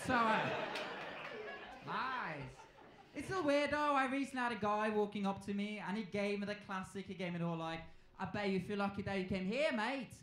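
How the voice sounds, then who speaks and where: A man's voice: high-pitched, normal speed, a bit bright. A young man with a Norwegian accent performs stand-up comedy into a handheld stage mic. He talks conversationally and playfully acts out exchanges. The club sound is roomy and echoing, with a little background noise. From Norway. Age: 20s. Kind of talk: live stand-up comedy routine. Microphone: handheld stage microphone.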